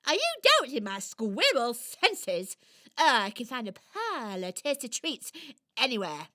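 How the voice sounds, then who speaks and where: Excitable, cocky British squirrel, swooping wildly. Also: female voice, a little high, unhurried, heavily acted. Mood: boastful. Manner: theatrical, exclamatory, cocky. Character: boastful, excitable, mischievous female squirrel. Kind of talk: children's radio play acting.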